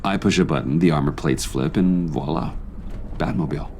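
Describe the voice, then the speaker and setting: An actor playing a sober, driven vigilante in civilian guise in a video game, speaking with serious restraint. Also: male voice, rumbling low, moderate pace, darkish tone, slight background noise. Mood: assured. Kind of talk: naturalistic video game dialogue.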